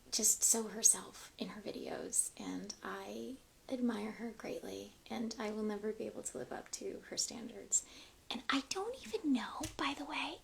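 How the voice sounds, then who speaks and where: A woman whispers a chatty livestream into a cheap mic from a quiet room at home. Her voice sits slightly high, with a gravelly, fry-touched edge. She sounds self-conscious, and faint noise hums underneath. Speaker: livestream host. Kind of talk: whispered ASMR-style livestream chat. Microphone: cheap USB microphone, phone held in hand.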